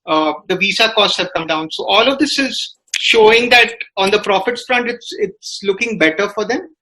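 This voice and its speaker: A man with an Indian accent giving analytical podcast commentary as a business reporter, in a hesitant, halting way with many filler words. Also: sits high, pushed and bright, slight background noise, poor audio.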